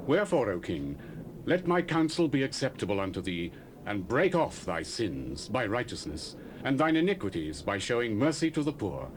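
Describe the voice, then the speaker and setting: A man's voice: mid-range voice, close to an everyday voice, poor audio. A man playing a wise prophet who gives grave, earnest counsel to a king. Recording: cassette tape hiss.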